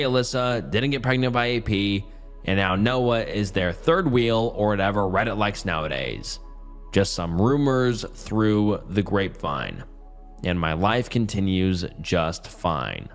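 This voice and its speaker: Strained-voiced man narrating Reddit posts. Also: lowish voice. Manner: casual, chatty delivery with fillers. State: strained, partly losing his voice. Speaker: YouTube story narrator. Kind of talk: reading Reddit posts aloud.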